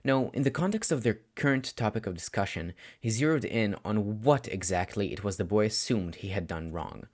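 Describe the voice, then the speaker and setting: A man reading scripted story narration aloud, audiobook style, with expressive, dramatic phrasing. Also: on the low side, moderate pace, hardly any pauses. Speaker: audiobook narrator of fan fiction.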